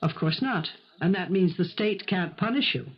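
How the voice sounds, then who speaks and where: An actress playing a poised, righteous woman in a radio mystery, measured and articulate, probing and moralizing. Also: fairly low pitch, animated, husky. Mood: stern, accusing. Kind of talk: 1970s radio mystery acting. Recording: dull, band-limited 1970s radio recording.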